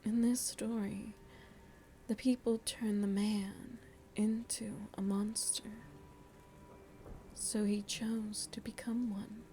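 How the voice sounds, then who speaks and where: A woman voicing a seductive, motherly vampire in an ASMR roleplay, soft and breathy, often whispering as she muses intimately to the listener. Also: medium pitch, level tone, a little hiss or hum, rough recording. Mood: musing, intimate. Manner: slow, deliberate, musing, addresses listener tenderly. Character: seductive motherly female vampire, worldly, philosophical, gently teasing.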